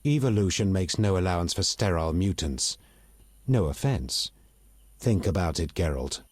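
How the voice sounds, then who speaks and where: A man playing a proud, bitter elf rebel in a video game, articulate and measured, with open disdain. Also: low-pitched, expressive, darkish tone, slightly rough, no echo. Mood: disdainful. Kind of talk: video game dialogue acting.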